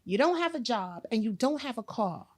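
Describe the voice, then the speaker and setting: A woman in her late forties hosting a podcast in casual chat, blunt and animated, acting out quotes as she tells stories. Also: fairly high pitch, a little fast. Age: 40s. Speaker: podcast host.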